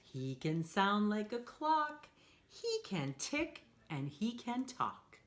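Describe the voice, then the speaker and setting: A woman reads aloud as a children's storytime host. Her medium-pitched voice moves slowly and swings widely up and down. She is playful and encouraging, speaking as if inviting young listeners to join in. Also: sing-song. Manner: playful, acts out sound effects.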